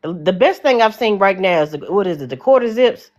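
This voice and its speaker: A woman's voice: mid-range voice, lively intonation, fairly quick, darkish tone. A woman in her forties with a Southern American accent, earnestly rambling about her views as a guest on a call-in show. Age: 40s. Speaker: caller guest. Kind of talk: casual call-in chat.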